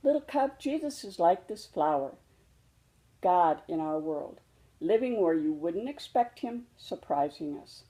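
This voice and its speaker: Storybook role: tender mama bear played by a woman, mellow and unhurried, patiently reassuring her young cub. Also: on the low side, animated, low-quality recording. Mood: tender, explaining. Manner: gentle, reassuring explaining. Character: patient, loving mother polar bear teaching her cub.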